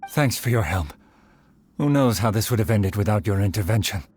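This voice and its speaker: A man's voice: lowish voice, lively intonation, a little mellow. A man playing an earnest, honorable samurai swordsman in a video game, formal and composed. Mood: grateful. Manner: formal, composed, measured wording. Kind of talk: dramatic video game voice acting.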